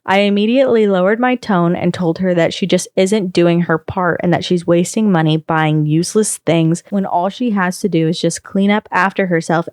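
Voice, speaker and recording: A woman reading a long first-person post aloud on a podcast, in a steady storytelling manner. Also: on the low side, clear, resonant tone, no echo, studio quality.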